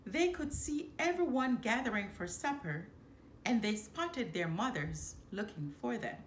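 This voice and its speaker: Slow, expressive woman reading a children's story. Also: a little high. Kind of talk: expressive children's read-aloud. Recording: roomy echo, background noise.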